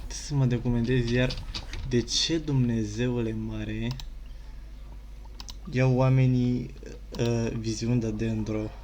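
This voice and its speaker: Gaming streamer: Romanian man, flat delivery, offhand and rambling, faint background noise. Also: medium pitch, slow pace, long pauses. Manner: offhand, rambling, mild swearing. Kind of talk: casual muttered game commentary. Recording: faint noise, keyboard clicks.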